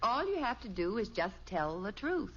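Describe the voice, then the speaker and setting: An actress playing a calm, matter-of-fact wife and mother in an old radio comedy, soothing her husband. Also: mid-range voice, normal speed, slight background noise, natural voice. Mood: reassuring. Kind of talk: 1950s radio comedy acting. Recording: dull old radio recording.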